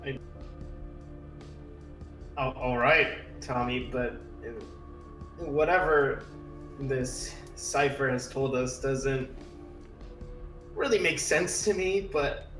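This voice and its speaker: A man playing a tabletop roleplaying game speaks slowly and hesitantly, repeating words as he improvises. His voice is mid-pitched and sounds clearly echoey. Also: a bit bright. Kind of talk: improvised in-character chat and narration. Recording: echoey room, music behind.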